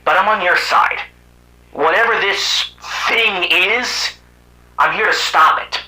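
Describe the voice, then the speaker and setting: A man voicing a sardonic cyborg animal hero from another planet in an amateur animated drama, with muttered asides and exclamations. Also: high voice, slow pace, full character voice. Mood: insistent, earnest. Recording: roomy, echoing voice track.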